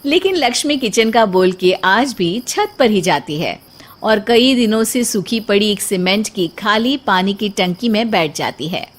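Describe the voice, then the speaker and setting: A female storyteller reads scripted narration. Her voice sits at a middle pitch for a woman, telling the tale in the third person. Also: expressive, unhurried.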